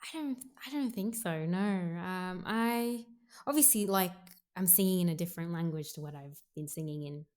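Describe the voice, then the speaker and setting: A woman's voice: fairly low pitch, normal speed. A young adult woman singer from Sri Lanka, raised in Australia, talking casually in an interview and thinking aloud with lots of filler words. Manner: soft-spoken, frequent "like" fillers, thinking aloud. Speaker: singer, guest.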